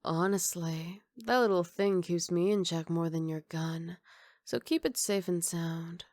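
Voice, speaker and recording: A woman acts out a teasing, self-assured female villain for an ASMR roleplay. The voice is lowish, soft and breathy, sitting very close and dry. She takes her time, with sly, flirty mock politeness. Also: no room sound, lightly acted. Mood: smug, teasing.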